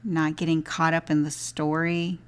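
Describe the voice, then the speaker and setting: A woman leading a guided body scan meditation as a teacher, giving gentle, unhurried instructions with long pauses. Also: deep voice, level tone, clean tone.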